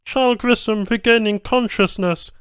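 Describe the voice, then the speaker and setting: A man's voice: high voice, normal speed, fairly bright tone, smooth, no echo, studio quality, voice pushed up. A man voicing an alarmed alien lab technician in a science fiction audiobook, calling out urgent, clipped alerts. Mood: urgent announcing.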